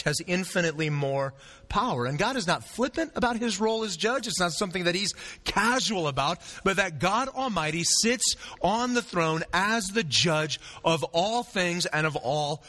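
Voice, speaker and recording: A man's voice: sits high, sharp tone. A man preaching a sermon as pastor, earnest and repeating phrases for emphasis, with a sore throat and a fading voice.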